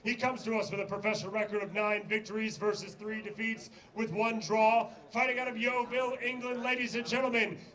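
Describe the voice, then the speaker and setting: Very high, ringing male boxing announcer. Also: level tone, bright, forceful tone, rough recording. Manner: showy, drawn-out names. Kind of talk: formal weigh-in announcement.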